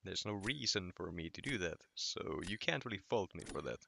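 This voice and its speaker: Low gravelly Finnish-accented man. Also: normal speed, a little hiss or hum. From Finland. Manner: relaxed, reading chat aloud and answering. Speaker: streamer. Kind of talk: casual gaming stream chat.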